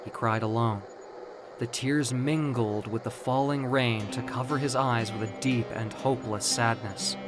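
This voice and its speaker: A man narrating scripted dark fiction in a dramatic storytelling style. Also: mid-range voice, fairly even intonation, unhurried, music in the background.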